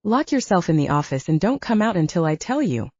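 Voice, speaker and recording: A woman's voice: fairly low pitch, very animated, brisk, a little mellow, dry recording, muffled recording. A woman voicing a tough, protective female werewolf in an audiobook, curt and wry as she gives orders. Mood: stern. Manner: curt, bossy. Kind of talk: audiobook character voice.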